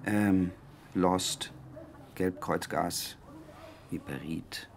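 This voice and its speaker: A man's voice: low voice, very slow, vocal fry, slight background noise, full character voice. An actor playing an eager young male journalist in a radio drama, questioning methodically.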